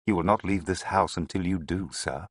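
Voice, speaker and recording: A man's voice: low-pitched, normal speed, slightly rough, no room sound, clean studio sound. A man voicing a stern, authoritative British police detective in an audiobook. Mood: commanding. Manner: forceful, authoritative.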